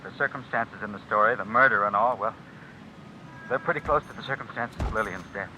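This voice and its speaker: Tense, cornered man, halting speech. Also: on the low side, bright, forceful tone. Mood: agitated fear. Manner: halting, evasive, nervous. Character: anxious, cornered male editor being blackmailed. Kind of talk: old-time radio drama acting. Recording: dull, noisy old radio recording.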